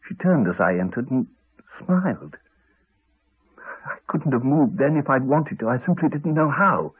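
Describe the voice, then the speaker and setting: A haunted man in an eighties radio play speaks through a narrow, phone-like recording. His voice is very husky, and his pitch moves a great deal as he haltingly confides, trailing off into pauses. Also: animated. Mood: haunted, tense. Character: Alex, haunted man haltingly recounting a horror. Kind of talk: 1980s radio drama acting.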